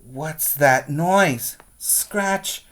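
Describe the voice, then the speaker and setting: A man's voice: on the high side, very slow, a bit bright. A man teaching preschoolers, speaking in an instructive, question-and-answer way as he reads aloud and guides young children.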